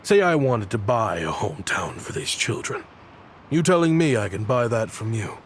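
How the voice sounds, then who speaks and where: A man playing a weary, remorseful protector of children in a video game, speaking in a halting, earnest way. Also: lowish voice, very animated, a little hiss or hum. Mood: wry, amazed. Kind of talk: dramatic video game voice acting.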